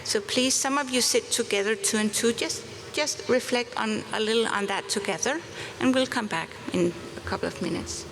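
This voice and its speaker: A woman presenting at a conference workshop, talking gently and encouragingly, with halting restarts. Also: fairly high pitch. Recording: conference hall reverb, faint noise.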